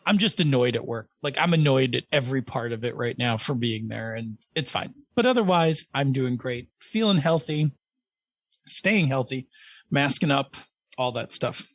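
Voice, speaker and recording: A man's voice: mid-range voice, expressive, normal speed, no echo. A man from Buffalo, New York, co-hosting a podcast, rambling and mildly grumpy with lots of fillers. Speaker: podcast co-host. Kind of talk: casual chat.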